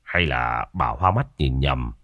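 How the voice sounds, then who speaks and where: A man voicing an older village husband who speaks in a gruff, dismissive, matter-of-fact way. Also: rumbling low, slow pace, dry recording, phone-quality sound, voice pushed down, full character voice. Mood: gruff skeptical.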